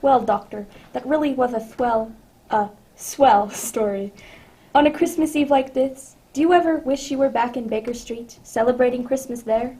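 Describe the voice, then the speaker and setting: Woman playing male radio announcer, affable, old-time drama host, mellow tone, slightly high pitch. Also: normal speed, lightly acted. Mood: friendly, curious.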